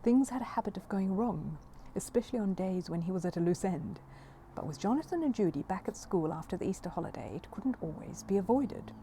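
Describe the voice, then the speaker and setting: A woman reading a children's story aloud as an expressive narrator. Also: mid-range voice, fairly quick, a little hiss or hum. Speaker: audiobook reader.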